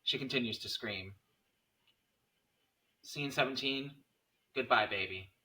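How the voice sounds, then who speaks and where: A man's voice: medium pitch, measured pace, bright, forceful tone. A man reading stage directions as narrator in a scripted table read of a screenplay.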